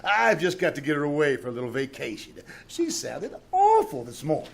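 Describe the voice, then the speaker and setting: Man acting a high-voiced, flustered, melodramatic husband. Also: huge pitch swings, a little fast, gravelly, exaggerated voice. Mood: weary, exasperated. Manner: theatrical, exclamatory. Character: flustered, melodramatic, anxious husband in a radio comedy. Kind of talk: old-time radio comedy acting. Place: small-town theater stage, live performance. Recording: live stage recording with noise.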